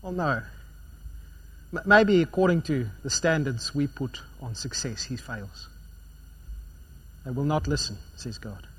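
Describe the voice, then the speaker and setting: A man preaching a sermon, swinging between loud emphatic passages and quiet, low ones. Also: unhurried, long pauses, breathy edge, low-quality recording.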